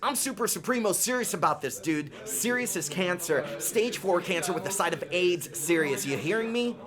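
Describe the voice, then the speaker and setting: An actor playing a crude, cocky party-going buddy in an audio drama, brash, slangy and emphatic. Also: male voice, high-pitched, lively intonation, moderate pace, music in the background. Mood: brash, insistent.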